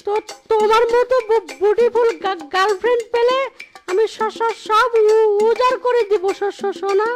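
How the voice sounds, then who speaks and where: A woman voicing a sly, cowardly male fox thief in a cartoon, squeaky and stuttering heavily, wheedling in an exaggerated way. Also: steady tone, moderate pace, over loud music. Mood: wheedling, flirty. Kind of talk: cartoon voice acting.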